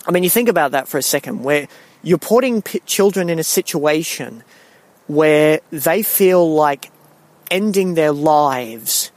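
A young man, raised in Singapore, giving a casual spoken monologue with a wry, halting manner, full of restarts and ums. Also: on the high side, no room sound.

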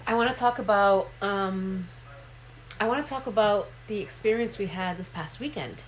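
A woman's voice: medium pitch, normal speed, a little hiss or hum, low-quality recording. Bubbly woman with a Rhode Island accent. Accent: Rhode Island with Portuguese influence. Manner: bubbly, interjecting, laughs often. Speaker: podcast co-host. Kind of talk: casual chat.

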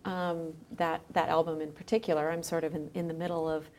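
A woman speaking as a podcast guest, explaining her creative work in casual conversation with halting phrasing. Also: low-pitched, little pitch movement, darkish tone. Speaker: podcast guest, musician and educator.

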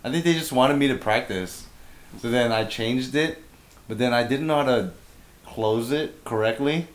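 A man co-hosting a casual podcast chat, his voice raspy from bronchitis, laid-back and quick to laugh. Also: mid-range voice. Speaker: podcast co-host. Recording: roomy echo.

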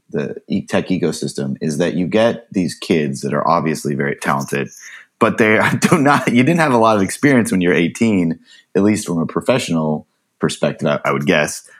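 Deep-voiced man hosting a podcast. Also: lively intonation, moderate pace. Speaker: podcast co-host. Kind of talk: casual interview questions.

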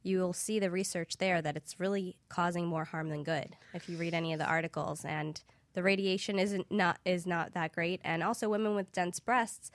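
A young woman with a slightly low voice explains things as an interview guest. Her delivery is informative and matter-of-fact, and the sound is dry with very little room. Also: fairly even intonation, normal speed, dry recording. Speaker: guest, infrared screening practitioner.

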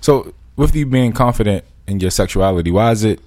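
A man with a very deep voice asks questions in a relaxed chat, often stalling and repeating words before landing his point. The sound is close and dry, with no room behind it. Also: fairly quick, dry recording. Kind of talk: casual interview chat.

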